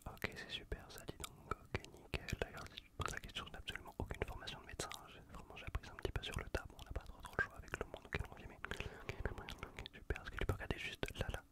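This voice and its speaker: Guy whispering asmr right up on the mic, bright breathy hiss, casual and reassuring exam roleplay. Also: fast. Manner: hushed, reassuring, repetitive, casual slangy talk. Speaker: ASMR performer playing an untrained medic. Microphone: close USB condenser microphone.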